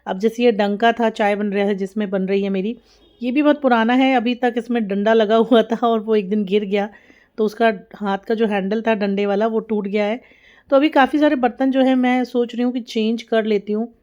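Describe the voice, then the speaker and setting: A woman's voice: fairly high pitch, steady tone, normal speed, darkish tone, highs cut off. A young woman chatting casually to viewers while cooking in her home kitchen, explaining each step as she goes. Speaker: vlogger. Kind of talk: casual cooking vlog chat.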